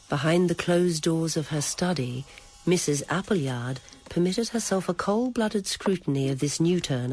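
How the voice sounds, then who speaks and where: A narrator reading a novel aloud in a measured, literary storytelling manner. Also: medium pitch, darkish tone. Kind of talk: scripted audiobook-style narration of a novel.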